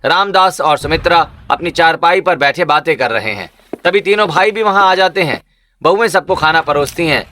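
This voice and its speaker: A man's voice: a little high, lively intonation, moderate pace, sharp tone, slight background noise. A man narrating a scripted cartoon village story as a storyteller.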